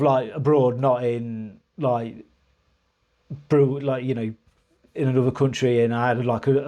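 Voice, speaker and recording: A man's voice: mid-range voice, warm tone. A man telling a personal story to camera in a rambling, hesitant way, with many restarts, and earnest frustration when he recounts his grievances. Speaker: vlogger.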